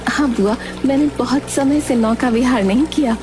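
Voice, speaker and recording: A woman voicing a gentle, trusting young serpent princess who speaks politely and earnestly in a storybook tale. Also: sits high, moderate pace, music in the background, pitched above the performer's own voice, close to an everyday voice.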